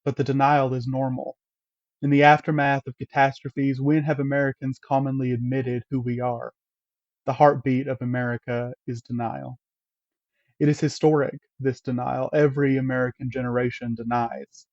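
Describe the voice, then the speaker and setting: Man reading an essay, measured and even, muffled dry sound. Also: mid-range voice, vocal fry, no room sound. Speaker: reader at a church table reading.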